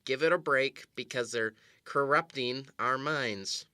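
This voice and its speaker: A man's voice: medium pitch, measured pace, sharp tone, dry recording, clean studio sound. A man playing a stubborn, paranoid husband who distrusts the television news, indignant and emphatic in an improvised radio comedy. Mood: defiant.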